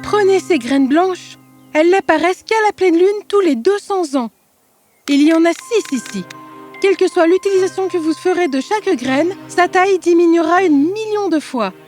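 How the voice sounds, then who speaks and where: Woman acting a high-voiced anxious old mother. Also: moderate pace, darkish tone. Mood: solemn. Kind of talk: fairy tale character acting. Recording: music behind the voice.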